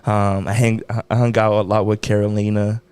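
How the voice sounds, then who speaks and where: A man's voice: lowish voice, little pitch movement, normal speed, darkish tone, clean tone, no room sound. A young American man co-hosting a podcast, talking casually in a laid-back, slangy way with lots of fillers. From United States. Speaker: podcast co-host.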